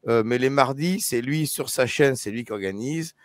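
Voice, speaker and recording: A man's voice: mid-range voice, moderate pace, dry recording. A man hosting a casual live discussion, talking with many hesitations and restarts. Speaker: YouTube live host.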